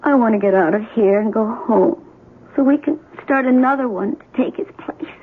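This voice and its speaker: An actress playing a devoted, possessive young American military wife in an old-time radio drama, intimate and insistent in manner. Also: female voice, fairly high pitch, moderate pace, slightly rough, narrow, tinny audio. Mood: hurt, pleading. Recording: band-limited old radio broadcast, faint music.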